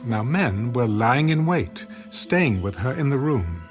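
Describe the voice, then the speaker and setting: A man's voice: medium pitch, very animated, slow, music in the background. A man narrating scripture in a solemn, dramatic storytelling style for an audio production.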